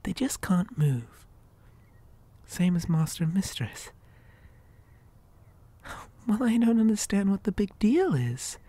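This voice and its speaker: A woman playing a possessive living doll in an ASMR horror roleplay, gently coaxing with childlike menace in a breathy, hesitant whisper. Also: mid-range voice, big pitch swings, no room sound, heavily acted. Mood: falsely soothing. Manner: soft, coaxing, slow and hesitant.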